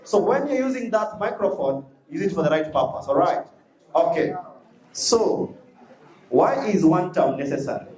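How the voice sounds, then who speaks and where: A man's voice: high voice, animated, moderate pace. A male student debater gives an insistent rebuttal, repeating himself to hold the floor. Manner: insistent, repeats phrases to command attention. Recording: echoey hall with a noisy crowd.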